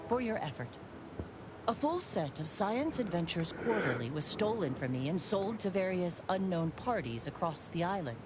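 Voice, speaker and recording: A woman's voice: medium pitch, natural voice. A woman playing a haughty, fussy female scientist in a video game, speaking in a prim, old-fashioned and wordy formal way. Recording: noisy game ambience.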